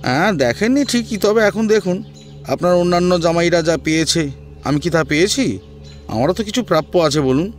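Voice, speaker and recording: A man's voice: high voice, animated, moderate pace, soft background music, voice pushed up. A man playing a greedy, shameless son-in-law in an audio drama, pleading and arguing insistently for what he thinks he is owed. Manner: insistent, wheedling, argumentative. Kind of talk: Bengali audio story acting.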